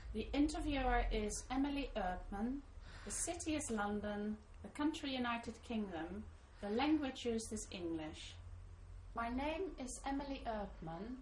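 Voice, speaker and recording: A woman interviewer asking questions in a measured, formal way and spelling out names. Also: fairly high pitch, a little hiss or hum, poor audio.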